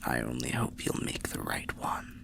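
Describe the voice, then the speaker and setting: A man voicing a weary old undead soul, telling fantasy lore softly in a low, gravelly, breathy roleplay as a slow, courteous, cryptic storyteller. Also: wildly swooping pitch, whispered, heavily acted. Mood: grave, measured. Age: older. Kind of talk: soft-spoken fantasy roleplay ASMR narration.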